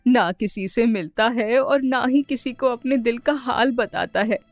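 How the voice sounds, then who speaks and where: A woman voicing a gentle, concerned middle-aged mother in an animated story, speaking softly. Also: a little high, a little slow, dry recording. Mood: sorrowful. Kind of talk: animated moral story dialogue.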